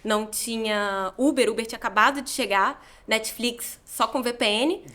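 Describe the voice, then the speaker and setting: A woman in her thirties with a carioca accent from Rio de Janeiro. She talks through her career in a loose, chatty way and makes light of herself. Her voice sits a little high. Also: moderate pace. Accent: Rio de Janeiro Brazilian Portuguese. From Brazil, Rio de Janeiro. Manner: chatty, self-deprecating, lively storytelling. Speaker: journalist guest. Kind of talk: relaxed interview conversation.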